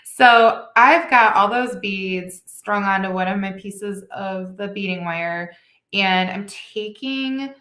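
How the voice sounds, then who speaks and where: A middle-aged woman walks viewers through a beading project at a slow, easy pace, her voice a little nasal from congestion. There's a gravelly fry in her tone and an echo from the room around her. She is chatty, correcting herself as she goes. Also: mid-range voice, a bit bright. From Michigan. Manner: chatty, with small laughs and self-corrections. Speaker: beading instructor. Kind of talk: live craft tutorial.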